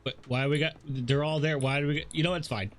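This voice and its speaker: A man's voice: fairly high pitch, fairly even intonation, quick pace. A man livestreaming a game, commenting casually and reactively and reading out the narration in an informal way.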